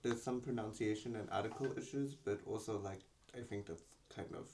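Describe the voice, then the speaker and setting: A man's voice: lowish voice, a little fast, darkish tone, a little hiss or hum. A man chimes in during a friendly conversation. He sounds thoughtful and hedges his points, and his speech is filled with "like" and "stuff like that.". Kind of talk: casual chat among friends.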